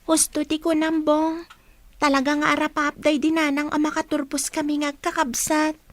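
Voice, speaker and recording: A woman's voice: high voice, little pitch movement, normal speed, no echo, natural voice. A woman acting as an earnest, dutiful teenage eldest sister in a radio drama. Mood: earnest, grateful.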